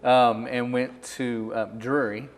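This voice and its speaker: Man, middle-aged, from Missouri, musician guest, casual storytelling, mid-pitched, noticeable room reverb. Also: level tone, slow pace. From Missouri, United States. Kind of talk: casual chat, telling personal history.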